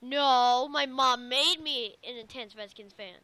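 A woman's voice: high-pitched, a little slow, bright, forceful tone, smooth, dry recording. A young woman chatting casually while playing a video game, as host of a gaming video. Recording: clicky, noisy home gaming recording.

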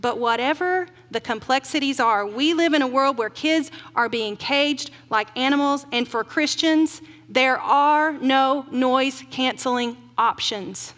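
A middle-aged woman giving an earnest keynote sermon from a podium on a large auditorium stage, slowing down to stress grim facts. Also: high-pitched, a bit bright. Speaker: keynote speaker. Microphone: podium mic. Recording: long hall reverb.

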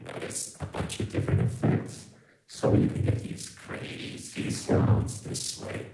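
A man's voice: a little slow, mellow. A man demonstrating in a tutorial, his voice run through a robotic vocoder effect. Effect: robotic vocoder processing. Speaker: music production tutor.

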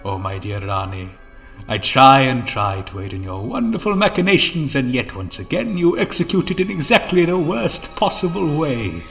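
A man's voice: medium pitch, expressive, moderate pace, creaky, fairly bright tone, faint music behind. A man playing a mocking, theatrical villain schemer in an audio drama, silky and taunting in his florid delivery.

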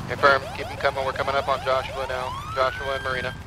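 A man role-playing a police unit in a game, giving procedural, halting location reports in clipped radio style. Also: medium pitch, monotone, very noisy.

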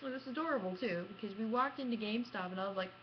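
A young adult woman chatting casually to camera as a gaming vlogger, with an animated, self-deprecating manner and dramatic emphasis. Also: medium pitch, very fast. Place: small room at home, indoors. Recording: muffled lo-fi webcam audio, background noise.

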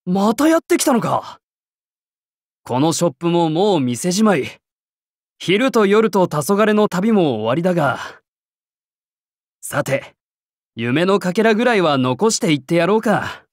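A man voicing a confident, easygoing young warrior king in a game, with casual, rough-edged speech. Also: high voice, no room sound, studio quality. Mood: easygoing, teasing. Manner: casual, cocky masculine speech. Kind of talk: Japanese game character voice acting.

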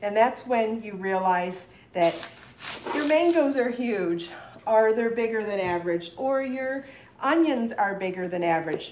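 A woman's voice: mid-range voice, a little mellow. A middle-aged woman hosting a live cooking demonstration in her home kitchen, chatting and explaining as she chops, recorded by a fixed camera across the counter. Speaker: cooking show host. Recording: echoey kitchen with chopping noise. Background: knife chopping on a cutting board.

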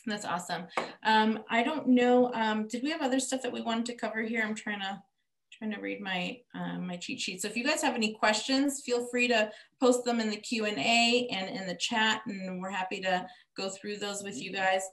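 A woman's voice: medium pitch, fairly even intonation, normal speed, a bit bright. A woman in her thirties, a native Greek speaker, hosting a casual webinar over a video call in a friendly, rambling way with many fillers. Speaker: webinar host. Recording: echoey room on a video call.

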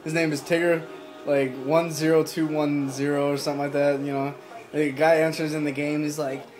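A man's voice: on the high side, level tone, moderate pace, a little mellow, faint music behind, rough recording. Young man rambling into his phone. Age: young adult. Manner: rambling, many fillers and restarts. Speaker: vlogger. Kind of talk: casual vlog chat to camera. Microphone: phone at arm's length.

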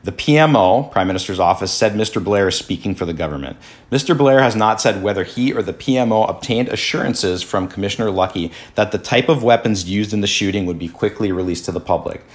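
Man, low voice, podcast host, reading news aloud, halting, many fillers, slightly worn voice. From Canada. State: losing his voice. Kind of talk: casual commentary and reading news articles aloud.

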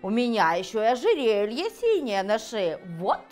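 A fat drake dandy from a children's storybook, put on by a woman. He is vain and pompous, showing off with lively, swinging intonation. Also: fairly high pitch, normal speed, soft background music. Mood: self-important.

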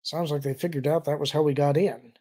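Pragmatic woman adventurer, voiced by a man, dry, brisk, wry, husky, audiobook dialogue. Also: fairly high pitch, fairly even intonation, warm tone, natural voice. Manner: dry, matter-of-fact.

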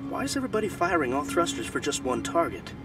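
Excitable, swooping young-rank trooper. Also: male voice, fairly high pitch, fast, far from the mic, over loud music. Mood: doubting. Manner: questioning, excitable. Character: doubting, chatty rank-and-file male stormtrooper. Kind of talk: audio drama acting.

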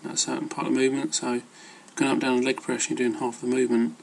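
A man's voice: mid-range voice, little pitch movement, fast talker, creaky, darkish tone, slight background noise. A man giving casual, rambling advice as an amateur fitness vlogger, hesitant and loosely worded.

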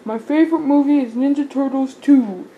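A woman's voice: sits high, normal speed, dark tone, dull audio, slight background noise. A young woman voicing a nervous, shy male doll in his twenties, talking in an anxious, plaintive way.

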